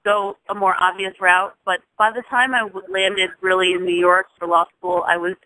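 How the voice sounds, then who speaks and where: Bright-voiced woman talking on a dry phone line. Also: mid-range voice, little pitch movement, moderate pace, dry recording. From Jamaica. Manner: thoughtful, with frequent restarts and soft laughs. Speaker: interview guest, singer. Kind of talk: casual interview talk.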